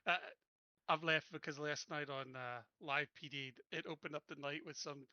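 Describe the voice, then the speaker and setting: A man's voice: on the high side, fairly even intonation, moderate pace, bright, forceful tone, no room sound, lo-fi sound. A man chatting casually and bluntly as a player in a tabletop roleplaying game.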